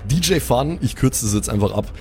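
A man's voice: mid-range voice, animated, fairly quick, darkish tone, faint music behind. A man narrating an improvised tabletop audio play as its game master.

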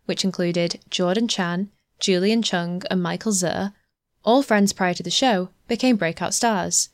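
A woman narrating a news article in a measured, even scripted delivery on a close mic. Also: medium pitch, no room sound.

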